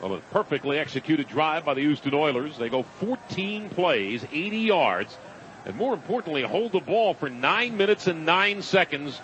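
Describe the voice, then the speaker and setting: Male American football announcer, high register, live radio play-by-play, noisy stadium broadcast. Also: animated, normal speed, fairly bright tone. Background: stadium noise.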